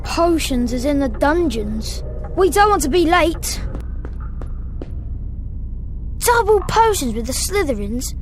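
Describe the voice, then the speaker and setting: A woman voicing a friendly, excitable young British schoolboy in a video game. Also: high-pitched, a little slow, a little hiss or hum. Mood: urging. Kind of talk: video game cutscene acting.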